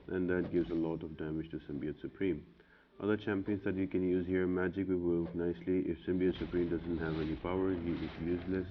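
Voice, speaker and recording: A man giving casual, matter-of-fact video game walkthrough commentary in an instructional way. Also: deep voice. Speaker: video game guide host. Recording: muffled audio with clear background noise.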